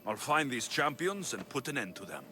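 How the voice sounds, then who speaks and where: An actor playing a tough, cocky young Greek mercenary in a video game, terse and confident. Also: male voice, medium pitch, sing-song, brisk, music in the background, lightly acted. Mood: defiant, dry. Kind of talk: dramatic video game dialogue.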